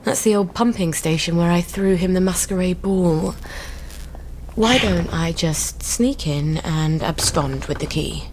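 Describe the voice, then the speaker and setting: A woman's voice: on the low side, unhurried, darkish tone. An actress playing a wry, self-assured and daring young British woman in a video game, thinking aloud. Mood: wry scheming. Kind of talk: video game cutscene acting. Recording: clear background noise.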